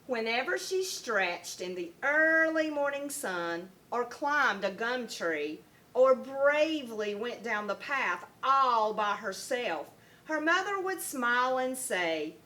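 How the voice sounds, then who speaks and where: A woman with a very bright, fairly high voice reads a children's story aloud. She takes her time and tells it expressively, like a first grade teacher. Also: expressive, slow. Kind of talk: children's picture book read-aloud.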